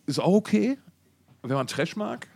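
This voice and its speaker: A man's voice: high voice, sing-song, unhurried, vocal fry, dark tone, breathy edge. A man chats casually as a podcast co-host, in a colloquial, effusive way full of interjections and slang. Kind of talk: casual music podcast chat.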